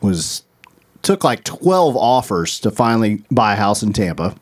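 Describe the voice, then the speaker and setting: A man's voice: on the low side, sing-song, unhurried. A man who produces the podcast, guesting in casual chat and recounting news in a matter-of-fact way.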